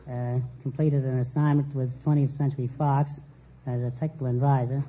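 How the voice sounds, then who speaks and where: An older man from New York City answering as a quiz show contestant in an earnest, halting, formal way. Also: medium pitch, little pitch movement. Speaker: contestant, retired Secret Service agent. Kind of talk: unscripted quiz show interview. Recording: muffled vintage radio with hiss.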